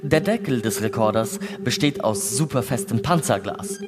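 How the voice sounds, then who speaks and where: A man's voice: mid-range voice, expressive, normal speed, natural voice. A man voicing an impassive space station computer in a sci-fi radio play, speaking in formal official announcements. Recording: long reverb, loud music behind.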